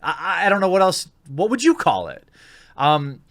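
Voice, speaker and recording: A man's voice: fairly high pitch, huge pitch swings, normal speed, fairly bright tone, dry recording, studio quality. A man giving opinionated video commentary in an indignant, informal, sarcastic manner. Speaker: YouTube commentator.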